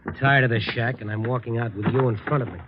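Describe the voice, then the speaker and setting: An actor playing a menacing, trigger-happy gangster in an old radio crime drama, talking in terse, fatalistic slang. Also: male voice, medium pitch, fairly even intonation, fast talker, natural voice. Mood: cool, threatening. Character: hard-boiled, trigger-happy gangster killer, fatalistic and touchy. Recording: dull, noisy vintage radio recording.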